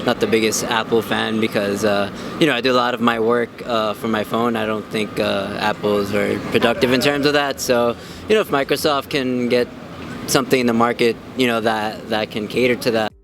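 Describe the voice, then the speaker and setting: A man giving casual, hesitant, halting interview answers. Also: medium pitch, steady tone, creaky. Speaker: member of the public being interviewed. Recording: noisy outdoor urban street with traffic.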